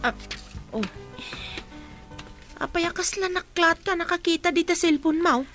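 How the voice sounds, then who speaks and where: Woman acting a high-voiced, fussing household auntie. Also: a little slow, over music. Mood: alarmed, insistent. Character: chatty, fussing older household woman, protective. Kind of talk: audio drama acting.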